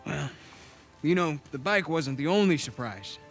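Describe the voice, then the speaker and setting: Protective young older brother, game cutscene, slightly high voice, swinging intonation, casual, cajoling, music behind. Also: male voice, lively intonation, unhurried, fairly bright tone. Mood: conspiratorial. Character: protective streetwise older brother, young man, earnest and persuasive. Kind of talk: naturalistic video game cutscene acting.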